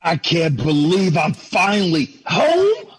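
A man's voice: high-pitched, wildly swooping pitch, slow, breathy edge, heavily acted. An actor playing an affectionate, earnest 1950s family father in an old-time radio drama. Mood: relieved, tender. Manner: earnest, folksy.

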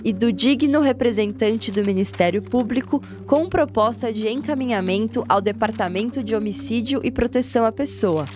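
A woman reading quoted documents aloud in a formal, official-sounding way. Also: mid-range voice.

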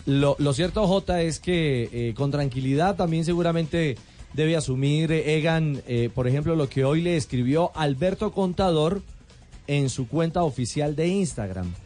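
A man commenting on sports on the radio, halting with many fillers. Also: on the high side, a little mellow, faint music behind.

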